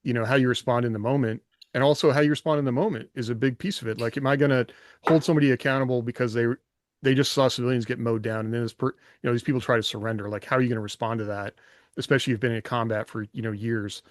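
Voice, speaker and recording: A man's voice: mid-range voice, fairly even intonation, racing, dry recording, clean studio sound. A man in casual podcast discussion, thinking aloud in a reflective, rambling way with rhetorical questions. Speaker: podcast host and author.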